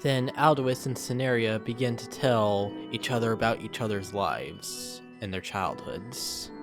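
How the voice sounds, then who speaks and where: A man's voice: lowish voice, unhurried. A man narrating an amateur dramatic reading from a script, in the role of storyteller. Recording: music behind voice. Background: music.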